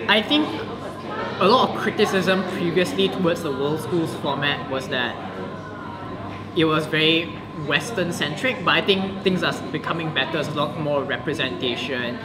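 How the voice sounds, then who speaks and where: Young male debater, thoughtful and articulate, slightly high voice, loud crowd chatter behind. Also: moderate pace. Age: young adult. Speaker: debater, guest. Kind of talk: casual interview.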